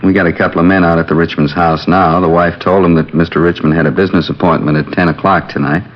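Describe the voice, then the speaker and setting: A steady homicide captain from an old radio police drama. He speaks low and measured, plain and businesslike, on a dull, muffled vintage recording. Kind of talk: 1950s radio acting.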